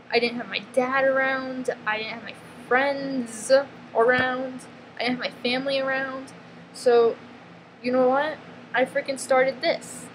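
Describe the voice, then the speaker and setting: A woman from the northeastern US with a New York accent speaks in a slightly high voice, blunt and emphatic, breaking off and restarting her sentences. Steady background noise sits clearly under her voice. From northeastern US, near New York. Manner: blunt, emphatic, peppered with "freaking" and "like"; halting, restarts mid-sentence. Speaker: vlogger. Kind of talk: confessional vlog monologue. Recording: noticeable background ambience noise.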